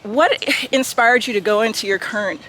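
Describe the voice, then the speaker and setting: A woman's voice: a little high, normal speed, bright, forceful tone, poor audio. A woman hosting a casual interview outdoors as a lifestyle vlogger, enthusiastic and laughing often. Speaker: vlog host, lifestyle coach. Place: outdoors on an urban walking trail. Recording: faint outdoor street noise.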